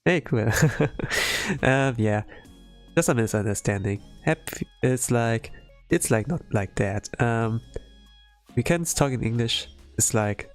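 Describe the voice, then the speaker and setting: A man's voice: medium pitch, animated, takes it slowly. German young man streamer, casual rambling chat, faint music behind. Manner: rambling, many fillers.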